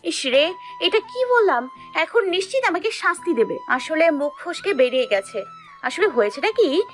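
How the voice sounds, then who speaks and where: Woman acting as high-pitched feisty young wife. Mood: flustered. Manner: expressive, girlish grumbling. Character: feisty, sulky, flustered young wife and assistant. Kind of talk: dramatized first-person romantic audio story.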